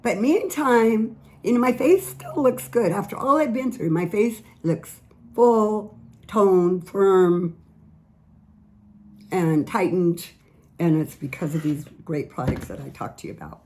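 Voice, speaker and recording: Mellow-voiced woman giving skin care tips. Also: medium pitch, expressive, slow. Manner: chatty, earnest, repeats words. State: slightly raspy voice. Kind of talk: casual product tips vlog.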